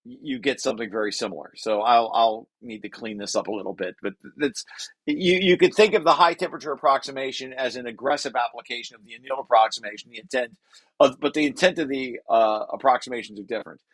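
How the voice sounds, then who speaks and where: A man's voice: medium pitch, fast talker, a bit bright, no room sound, rough recording. A man reading through a technical paper and explaining it informally, thinking aloud and correcting himself as he goes. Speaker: researcher presenting own paper.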